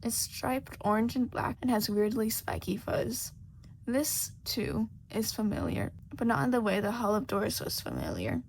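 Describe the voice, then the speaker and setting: Female audio fiction narrator. Animated scripted storytelling, gravelly edge, slight noise in the background. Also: medium pitch, measured pace, low-quality recording. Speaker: podfic narrator.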